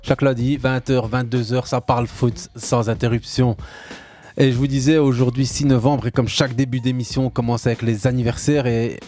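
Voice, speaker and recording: A man's voice: medium pitch, flat delivery, normal speed, darkish tone. A man hosting a radio football talk show, chatting casually and playing with words in his intro. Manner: playful, wordplay-heavy intro patter. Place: radio studio.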